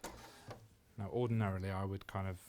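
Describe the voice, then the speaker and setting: A British man demonstrating sewing on a live show, talking in a calm, soft way. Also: sits low, fairly quick, slight background noise. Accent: British English. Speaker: sewing pattern designer guest. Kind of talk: casual demonstration chat.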